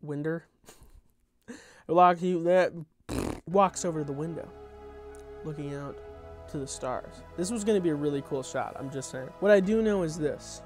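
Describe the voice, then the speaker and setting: A man's voice: fairly high pitch, normal speed, long pauses, darkish tone, faint music behind. A young adult man doing an informal table read of his own comedy script, dropping in wry, off-the-cuff asides. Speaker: content creator reading own script.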